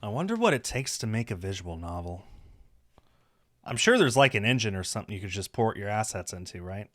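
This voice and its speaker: A man's voice: lowish voice, very animated, a little fast, a bit bright. A man reading a visual novel aloud on a stream, narrating the story with casual asides.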